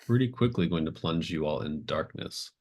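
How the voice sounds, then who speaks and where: A man with a very deep voice runs a tabletop game. He improvises the narration conversationally, feeling out each phrase as he goes and thinking aloud in a casual style. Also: expressive, moderate pace. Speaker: game master. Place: remote online group call.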